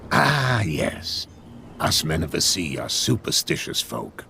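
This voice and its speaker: An actor playing a weathered harbor captain in a video game, proud and superstitious, with a gravelly, measured, wry storytelling delivery. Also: male voice, low-pitched, sing-song, bright, forceful tone, slight background noise, heavily acted. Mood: proud, solemn. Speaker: last serving sea captain.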